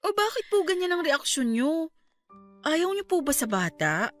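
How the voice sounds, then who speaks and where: A woman playing a caring, excitable young niece, polite and emphatic, in a radio drama. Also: very high-pitched, moderate pace, faint music behind, heavily acted. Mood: reassuring. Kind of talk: Tagalog radio drama acting.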